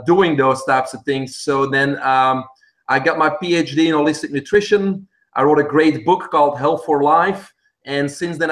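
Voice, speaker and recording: A man's voice: on the high side, a bit bright. A middle-aged man from Belgium with a Belgian accent, answering interview questions and explaining things plainly, step by step. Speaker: guest, stem cell clinic head.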